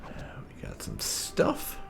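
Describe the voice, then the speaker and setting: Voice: very slow, in a whisper, music in the background. A gaming host giving casual let's play commentary with some light roleplay. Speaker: gaming video host, player.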